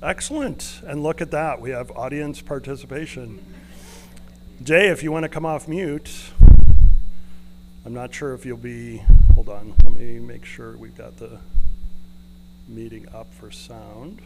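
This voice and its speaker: A man speaks over clear background noise and hum at a mid-range pitch. He is presenting live in a conference room, talking to his audience as he works through an interactive tech session. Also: measured pace, long pauses.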